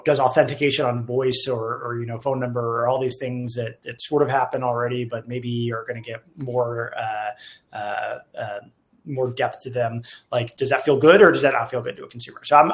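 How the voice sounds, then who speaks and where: A man's voice: mid-range voice, steady tone, normal speed, gravelly, a bit bright. A man co-hosting a company webinar in a casual, conversational way, thinking aloud as he goes in an informal, rambling manner. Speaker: co-host of a company webinar, software company staff. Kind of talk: casual panel talk.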